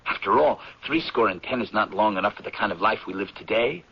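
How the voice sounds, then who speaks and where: Husky, earnest professor in vintage radio drama. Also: male voice, on the low side, expressive. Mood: earnest, musing. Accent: American. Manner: earnest, persuasive, deliberate when reading aloud. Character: eager, idealistic male anthropology professor chasing a theory. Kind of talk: 1970s American radio drama acting. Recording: dull, lo-fi vintage radio recording.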